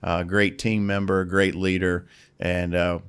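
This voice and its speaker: A man hosting a casual podcast, upbeat and encouraging as he speaks to his guest. His voice sits a little low and comes through clean and clear. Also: flat delivery, a little slow, a bit bright. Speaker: podcast host. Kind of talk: casual interview chat.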